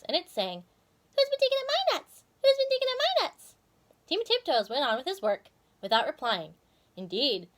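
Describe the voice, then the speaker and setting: A woman hosting and reading a children's story expressively, with casual asides to viewers. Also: on the high side. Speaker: storyteller and video host.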